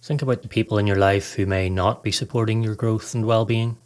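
A man narrating a scripted guided meditation in a calm, measured, instructional way. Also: lowish voice. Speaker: podcast host, meditation guide.

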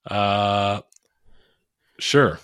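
A young man co-hosting a casual sports podcast over a call, with a monotone voice and a rambling manner, laughing at his own jokes. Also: on the low side, very slow, clean tone, no room sound, clean studio sound. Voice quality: monotone, self-described oafish. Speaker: podcast co-host.

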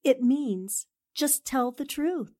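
A woman's voice: sits high, expressive, takes it slowly, mellow, heavily acted. A woman playing a self-assured, teasing newspaperwoman with little patience in a 1940s-style radio drama. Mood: teasing, exasperated. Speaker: actor in an amateur radio drama re-creation.

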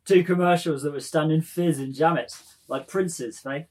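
A man's voice: a little high, normal speed, darkish tone, close to an everyday voice. A man playing a jovial, sly Dublin fixer in a literary reading, drawling and chummy, tagging his phrases with "eh?". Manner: drawling, chummy, peppered with "eh?" tags.

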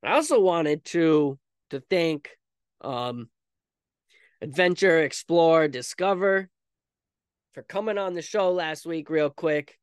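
A man hosts a casual solo podcast in a smooth, fairly high voice. He talks informally and rambles, often putting himself down lightly. The sound is dry and close, with very little room in it. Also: slow, long pauses, no echo. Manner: rambling, self-deprecating, informal. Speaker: podcast host.

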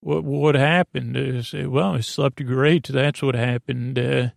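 A man with a gravelly, fry-laden voice hosts a bedtime podcast, recorded close and dry. He wanders from tangent to tangent in a silly, goofy way, often stopping and restarting his sentences. His pitch sits in an ordinary male middle range. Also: steady tone, unhurried, dry recording. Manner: meandering, tangent-prone, hesitant delivery with trailing restarts. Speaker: podcast host. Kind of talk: rambling bedtime story podcast monologue.

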